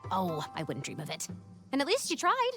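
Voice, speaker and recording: A woman voicing a spirited, wry young heroine in a comedic animated game, plucky and dryly sarcastic. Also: lowish voice, fairly quick, music in the background. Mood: wry, upbeat. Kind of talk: animated video game cutscene acting.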